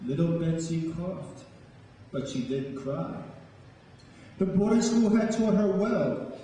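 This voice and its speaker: A man speaking as a poet and storyteller to a live audience, with a deliberate, dramatic delivery. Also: a little high, fairly even intonation, mellow. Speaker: spoken word poet and storyteller. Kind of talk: dramatic poetry reading and talk to a live audience. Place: large echoing room with a live audience. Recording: echoey hall, distant mic, faint noise.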